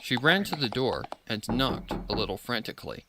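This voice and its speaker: A man reading a story aloud in an expressive, dramatic scripted narration style. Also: medium pitch, normal speed, background noise. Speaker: fan fiction reader.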